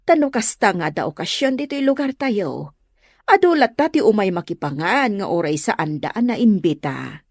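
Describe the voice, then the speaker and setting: A woman's voice: mid-range voice, sing-song, moderate pace, dry recording, studio quality. A woman with an Ilocano Filipino accent playing a plain-spoken, hospitable village woman in an audio comedy-drama. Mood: placating.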